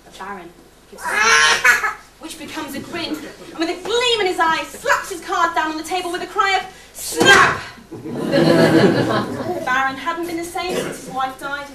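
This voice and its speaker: A chirpy pantomime servant played by a woman narrates straight to the audience. The voice is high and animated, heard from a distance in an echoey hall. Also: moderate pace, heavy background noise. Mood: dramatic storytelling. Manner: bright, declamatory, addressing the audience directly. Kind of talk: amateur pantomime stage acting and narration. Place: theatre or hall stage with audience.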